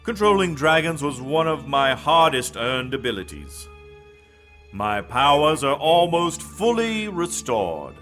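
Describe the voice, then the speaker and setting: Ancient dark lord, slow delivery, sharp forceful tone, pompous, gloating, fantasy audio drama villain. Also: male voice, fairly high pitch, very animated, faint music behind. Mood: gloating menace.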